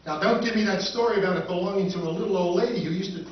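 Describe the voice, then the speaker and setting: A man's voice: high-pitched, very fast, slight background noise, close to an everyday voice. A man playing a wary, doubting spaceship buyer. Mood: skeptical. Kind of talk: live stage recreation of 1950s radio drama. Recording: echoey hall from far off, dull.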